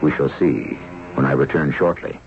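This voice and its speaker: An older man hosting a radio drama, narrating in a measured, theatrical way with a gravelly voice. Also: a bass voice. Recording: dull old radio broadcast. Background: dramatic music.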